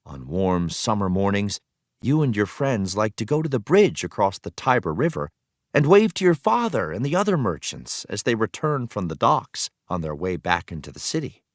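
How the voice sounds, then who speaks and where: A man reading a children's history text aloud as a teacher would, with expressive, clearly phrased delivery. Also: lowish voice, moderate pace, dry recording, studio quality.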